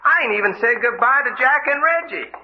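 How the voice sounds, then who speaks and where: An actor playing a folksy, plain-spoken adventurer with a drawl in an old-time radio drama. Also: male voice, very high-pitched, lively intonation, brisk. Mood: agitated protest. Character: folksy, plain-spoken adventurer man facing his doom. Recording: muffled, noisy radio drama recording.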